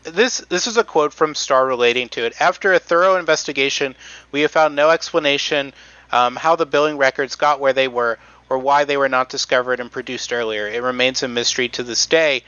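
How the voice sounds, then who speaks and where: A man's voice: medium pitch, brisk, sharp tone, clean tone, no echo, lo-fi sound. A man hosting a casual podcast, reading out notes with many ums and restarts. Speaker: podcast host presenting research.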